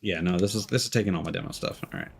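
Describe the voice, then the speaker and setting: A man's voice: deep voice, rapid-fire, slight background noise. A man giving casual live gameplay commentary, rambling, swearing and joking dryly. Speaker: game streamer.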